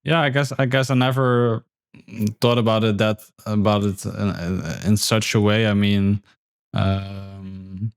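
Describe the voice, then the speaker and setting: A man's voice: on the low side, flat delivery, unhurried, smooth, no echo, studio quality. A man hosting a podcast, speaking thoughtfully with many fillers and repeated words. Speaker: podcast host. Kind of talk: casual conversation.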